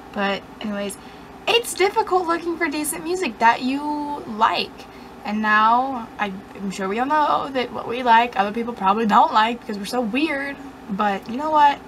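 A woman's voice: sits high, fairly bright tone, a little hiss or hum, rough recording. Young woman rambling sarcastically to her webcam. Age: young adult. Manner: rambling, sarcastic and self-mocking. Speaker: vlogger. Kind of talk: casual chat to camera. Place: dim bedroom, indoors.

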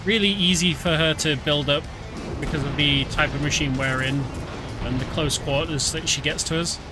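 A man talks over his gameplay in a relaxed, chuckling way. His voice sits a little high. Game music plays loudly behind him. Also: moderate pace. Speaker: streamer. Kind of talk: casual game commentary.

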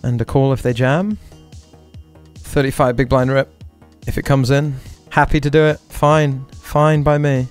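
A man's voice: medium pitch, fairly even intonation, unhurried, clean tone, soft background music. A man hosting a poker stream, talking through each hand aloud and repeating short catchphrases. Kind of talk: live poker stream commentary.

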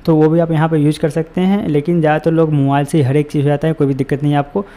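A man's voice: on the high side, level tone, racing, mellow. A man narrating a screen-recorded walkthrough, giving repetitive step-by-step instructions as a voice-over. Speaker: tutorial host.

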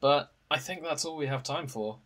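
A man's voice: a little high, normal speed, fairly bright tone. A man hosting a podcast, chatting casually with his co-hosts. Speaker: podcast host. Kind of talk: casual chat.

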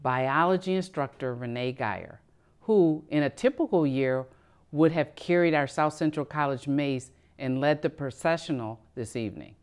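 A middle-aged woman delivers a formal commencement address from a podium. Her voice sits low and her delivery stays measured and prepared. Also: clear, resonant tone. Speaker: college president giving commencement address. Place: studio in front of a backdrop.

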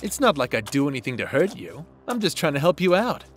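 A man's voice: fairly high pitch, sing-song, fairly quick, soft background music. Sly, mocking demon, acted by a man. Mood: sly, teasing. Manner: mocking, teasing, casual. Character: bored, taunting male demon, sly and foul-mouthed. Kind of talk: fantasy audio roleplay acting.

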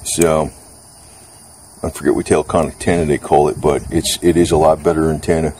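A man's voice: rumbling low, flat delivery, brisk, darkish tone. A man giving a casual, rambling hands-on review in an informal, slangy way with some mild cursing. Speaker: product reviewer. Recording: clear background noise, outdoor ambience.

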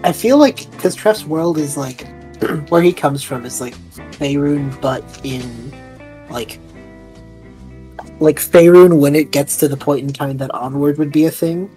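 Woman, low pitch, casual roleplay chat, thinking aloud, tabletop player, faint music. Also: measured pace, pauses often, warm tone, poor audio. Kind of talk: casual roleplay chat, describing a character's actions.

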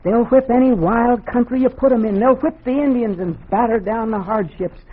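An actress playing a feisty, middle-aged frontier wife with a folksy, scolding manner in an old-time radio drama. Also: female voice, a little high, moderate pace, slightly rough. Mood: knowing. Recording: muffled, tinny old radio recording.

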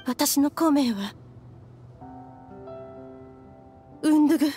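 Woman acting sorrowful anime game mother, extremely high pitch, guilt-ridden, fragile, halting, soft music behind. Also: lightly acted. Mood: subdued.

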